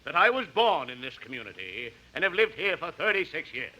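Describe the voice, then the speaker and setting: An actor playing a proud, pompous civic booster giving a formal speech in a 1950s radio comedy. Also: male voice, a little high, sing-song, a little fast. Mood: dignified, pompous. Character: proud civic booster choking on smog while denying it. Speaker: guest speaker. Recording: noisy 1950s radio recording, loud audience.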